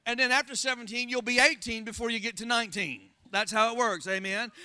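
A very high, piercing male voice with a Southern accent, preaching with fervor and constantly calling on the congregation to answer back. The sound is dry and close, with no room echo. He is a pastor from Florida in full sermon mode. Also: bright, forceful tone. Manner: call-and-response preaching, asks the congregation for amens. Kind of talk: Pentecostal sermon.

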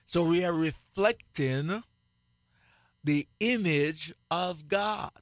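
Jamaican man, radio pastor, emphatic repetition, very slow delivery, dry sound. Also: on the high side, dry recording. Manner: slow, emphatic, repeats key phrases. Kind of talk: radio sermon, co-hosted Bible teaching.

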